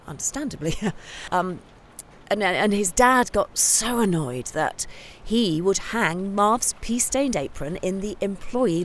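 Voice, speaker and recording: An actress playing an earnest biographer in a mock-documentary interview, casual, hesitant and often correcting herself. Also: female voice, medium pitch, slight background noise, close to an everyday voice.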